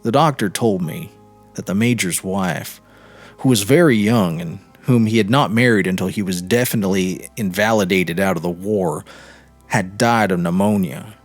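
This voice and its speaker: Low-voiced expressive male story narrator. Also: moderate pace. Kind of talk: literary short story reading. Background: music.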